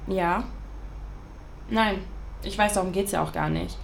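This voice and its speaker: Pragmatic, sceptical young best friend. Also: female voice, medium pitch, a little slow. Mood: businesslike. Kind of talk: naturalistic audio drama dialogue. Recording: clear background ambience noise.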